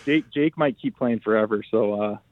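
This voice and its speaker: A young adult man, a football player, answering interview questions by phone in a relaxed, halting way with many restarts and fillers. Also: lowish voice, no room sound. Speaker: pro football player, guest. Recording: tinny phone line.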